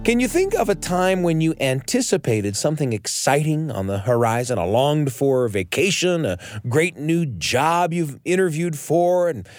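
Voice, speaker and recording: Animated male radio host, dry studio sound. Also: a little high, moderate pace, few pauses, no room sound, soft background music. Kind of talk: scripted storytelling narration.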